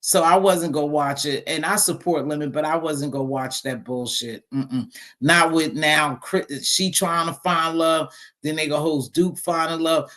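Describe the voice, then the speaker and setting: A middle-aged woman with a deep voice hosting a casual live stream, talking bluntly and sassily to her viewers. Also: steady tone, normal speed, a bit bright. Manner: blunt, sassy, talks straight to viewers. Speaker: live stream host reading chat.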